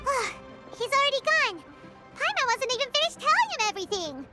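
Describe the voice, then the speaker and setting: A woman voicing a tiny, chatty, excitable childlike fairy companion in a video game, squeaky and fussy. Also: brisk. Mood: chirpy, matter-of-fact. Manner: speaks of herself in third person. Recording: music behind the voice.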